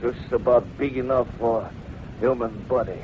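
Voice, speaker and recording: An actor playing a big, loyal, excitable French-Canadian sidekick in a 1950s radio western, speaking colorful broken English sprinkled with French. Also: male voice, mid-range voice, normal speed, narrow, tinny audio, close to an everyday voice. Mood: eager, reporting. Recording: noisy, band-limited vintage radio recording.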